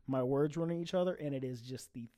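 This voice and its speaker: An American man chatting casually as a fill-in podcast guest, telling stories in an animated way and often quoting what was said. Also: medium pitch, fast talker. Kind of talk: casual chat, storytelling.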